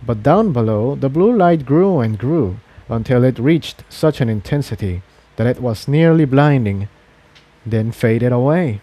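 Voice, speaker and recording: A man's voice: mid-range voice, expressive, unhurried, dark tone. A man reading an adventure story as a dramatic audiobook narration. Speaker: fan fiction narrator.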